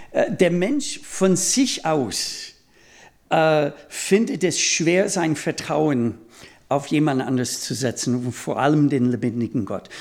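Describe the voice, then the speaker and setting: A man preaching a sermon in a language that is not his mother tongue, deliberate and halting as he rephrases himself. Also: fairly high pitch, vocal fry, a little mellow. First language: not German; speaks German as a second language.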